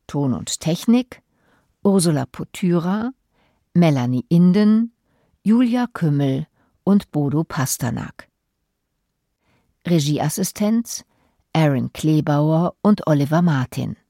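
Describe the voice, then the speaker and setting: Low, unhurried female radio announcer. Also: expressive, warm tone, no room sound, studio quality. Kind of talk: scripted radio credits announcement.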